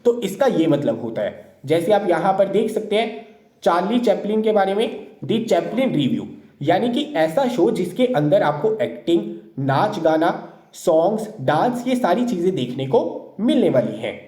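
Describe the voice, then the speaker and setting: A man's voice: sits high, big pitch swings, moderate pace, mellow. A man teaching English vocabulary in an exam-prep lesson, asking questions and then answering them himself. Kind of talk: explanatory lesson lecture. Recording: roomy echo.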